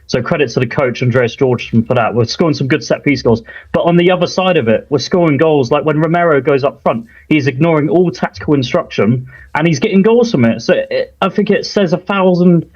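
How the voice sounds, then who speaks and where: A man from Thailand, a football fan guest, talking frankly and laughing easily in a lively chat. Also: on the high side, a little fast, few pauses, lo-fi sound. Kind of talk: animated call-in chat.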